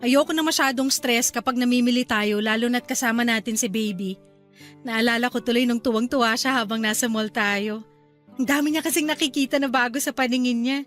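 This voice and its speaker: High-voiced, cheerful Filipino young wife played by an actress. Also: female voice, brisk, fairly bright tone, faint music behind, lightly acted. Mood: chatty. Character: cheerful, eager young wife and new mother. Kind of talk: Filipino radio-style drama acting.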